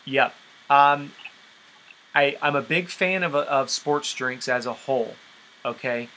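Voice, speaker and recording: A man with a bright, forceful voice leads a group conference call as a fitness coach. He explains things step by step and often checks that listeners follow. There is faint noise on the recording. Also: mid-range voice, slow pace, poor audio. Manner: explanatory, checks understanding with frequent okay. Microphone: conference call line.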